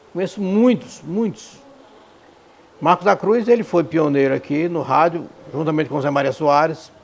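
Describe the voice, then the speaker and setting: Creaky, higher-voiced Northeastern Brazilian man. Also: a little mellow. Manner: emphatic, repeats phrases for emphasis. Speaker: radio professional and columnist, interviewee. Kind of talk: reminiscing interview testimony.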